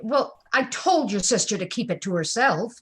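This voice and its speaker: A woman's voice: mid-range voice, lively intonation, moderate pace, fairly bright tone, slightly rough. A woman playing a stubborn, defensive mother of a grown son in a live play reading over a video call, indignant and exclamatory.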